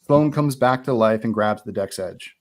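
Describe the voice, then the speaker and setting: A man's voice: lowish voice, moderate pace. A man reading out screenplay stage directions as narrator at a live table read.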